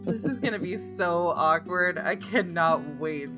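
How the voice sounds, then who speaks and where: A woman's voice: lowish voice, unhurried. A woman hosting a visual novel playthrough, reading aloud and reacting with giggles and exclamations. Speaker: gaming video host. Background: guitar game music.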